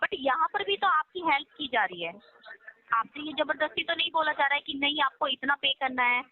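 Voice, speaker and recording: A woman's voice: high voice, expressive, a little fast. A woman working as a loan recovery call-centre agent, talking persuasively and insistently on the phone, explaining politely and often checking for agreement. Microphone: telephone line. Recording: tinny phone call recording, faint music.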